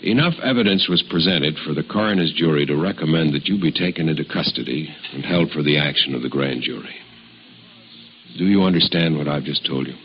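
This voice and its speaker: An actor playing a stern, pressing deputy district attorney in a radio drama, speaking formally and forcefully with deliberate phrasing. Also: male voice, very deep voice. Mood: stern, insistent. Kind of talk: 1950s radio drama acting. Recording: muffled, noisy old radio recording.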